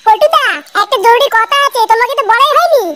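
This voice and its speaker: A man voicing a gossipy, foul-mouthed young club sidekick in a comic cartoon, excitable and crude, with a pitched-up voice. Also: fast. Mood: eager gossiping. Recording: very noisy cartoon soundtrack.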